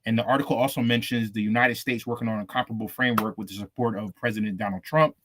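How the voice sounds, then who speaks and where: A man's voice: fairly low pitch, fairly even intonation, fast, a bit bright, slight background noise. A man co-hosting a casual crypto podcast, reading out news stories in a laid-back, slangy way. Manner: laid-back slangy delivery, calls listeners "fam". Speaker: co-host.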